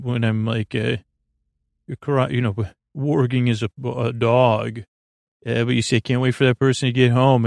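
A man hosting a bedtime podcast, talking in a soft, creaky, meandering way with many fillers and restarts. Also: mid-range voice, no echo. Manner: soft, meandering, self-interrupting. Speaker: podcast host. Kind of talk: rambling bedtime podcast talk.

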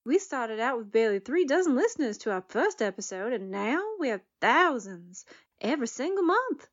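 A woman's voice: a little high, sing-song, moderate pace. A woman podcast cast member addressing listeners from a script, on a slightly muffled recording.

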